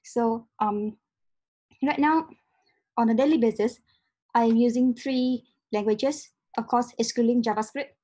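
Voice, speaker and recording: A woman's voice: fairly high pitch, unhurried, mellow. A young woman whose first language is Vietnamese, giving a casual talk on a video call and explaining step by step with many ums. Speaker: meetup speaker. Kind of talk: casual tech-meetup talk with slides. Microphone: video call webcam.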